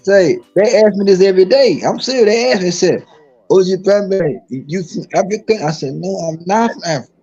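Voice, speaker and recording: A man from Dallas speaks with a husky, mellow voice. He tells his stories emphatically, circling back and repeating phrases with lots of restarts. The stream audio is dull and lo-fi. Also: high voice, expressive, brisk, soft background music. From Dallas, Texas. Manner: repetitive, emphatic storytelling with many restarts. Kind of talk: casual live-stream chat.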